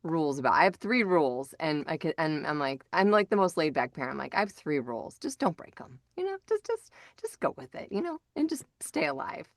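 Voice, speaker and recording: A woman's voice: low-pitched, very animated, a little fast, few pauses, no echo, studio quality. A middle-aged woman hosting a podcast in a casual, rambling, informal way. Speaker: podcast host.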